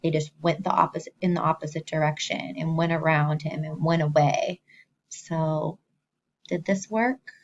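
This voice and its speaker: A woman teacher reading a story to young children, expressively, pausing to explain simply and ask the listeners questions. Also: deep voice, gravelly, a little mellow, highs cut off, a little hiss or hum.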